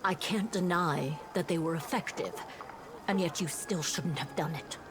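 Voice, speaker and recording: An actress playing an earnest, dutiful female paladin officer in a fantasy game, speaking in a formal, measured reporting manner. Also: on the low side, lightly acted. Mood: grave, reproachful. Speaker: game character reporting to a commander. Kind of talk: voice-acted fantasy game dialogue. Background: music.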